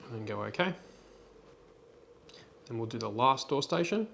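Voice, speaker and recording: A man's voice: mid-range voice, animated, normal speed, creaky, fairly bright tone. Man giving a tutorial over background noise. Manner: plain, step-by-step instructional delivery. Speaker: tutorial presenter. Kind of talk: software tutorial walkthrough, unscripted.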